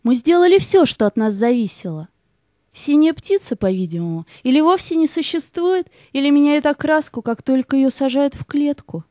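A woman's voice: high-pitched, animated, moderate pace, warm tone, dry recording, clean studio sound. A woman playing a tender, serene female spirit of light in an audio play. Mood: tender, sorrowful.

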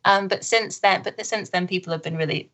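A woman who translates books, answering modestly and hesitantly, with many pauses and nervous laughs. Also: mid-range voice, a bit bright. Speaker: literary translator. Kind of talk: conversational interview answers.

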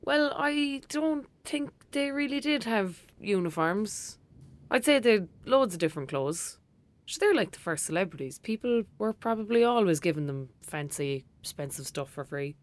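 A woman's voice: medium pitch, lively intonation, moderate pace, close to an everyday voice. A woman playing a blunt, sceptical young girl with an Irish accent in an audio drama, speaking in a casual, colloquial way. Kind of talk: naturalistic audio drama acting.

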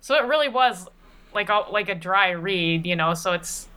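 Woman, bright smooth voice, playful and chatty interview guest, conversational delivery. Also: medium pitch, lively intonation, moderate pace. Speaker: podcast regular and voice performer being interviewed. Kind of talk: casual interview chat.

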